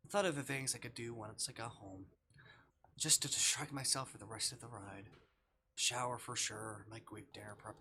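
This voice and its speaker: A man's voice: medium pitch, moderate pace, slight background noise. A man livestreaming a visual novel, reading it aloud theatrically and reacting as he goes. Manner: theatrical, swings from hushed narration to shouting.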